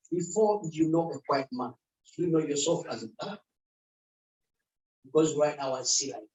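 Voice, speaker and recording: A middle-aged Ugandan man, speaking earnestly in short phrases as a community speaker at a public event. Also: on the high side. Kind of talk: unscripted public talk. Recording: roomy sound picked up from far off.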